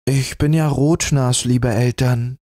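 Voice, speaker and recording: A man voicing a kind, humble young girl in a fairy tale, speaking gently and modestly. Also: mid-range voice, fairly even intonation, takes it slowly, dry recording, clean studio sound, voice pushed up. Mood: gentle.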